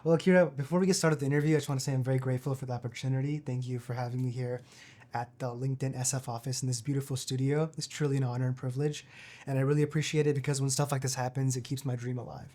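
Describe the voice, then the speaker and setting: A man's voice: mid-range voice, flat delivery, rapid-fire, warm tone. A young man hosting a podcast, reading an upbeat introduction and asking questions in an effusive way. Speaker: podcast host. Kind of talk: scripted intro and interview questions. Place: studio in an office.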